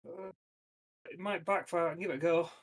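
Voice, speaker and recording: A man's voice: fairly high pitch, steady tone, fairly quick. A man talking casually as a player in a tabletop roleplaying game. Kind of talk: casual chat.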